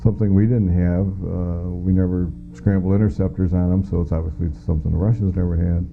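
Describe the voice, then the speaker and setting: A man's voice: low-pitched, little pitch movement, brisk, gravelly, soft background music. A middle-aged American man giving first-hand testimony in an interview, plain-spoken and matter-of-fact. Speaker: former air traffic controller giving witness testimony. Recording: muffled lo-fi audio.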